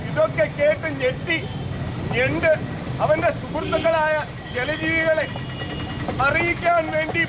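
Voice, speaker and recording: High-voiced street puppet show narrator. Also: male voice, fairly even intonation, measured pace, long pauses. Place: outdoor street. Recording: outdoor street show with loud crowd and traffic.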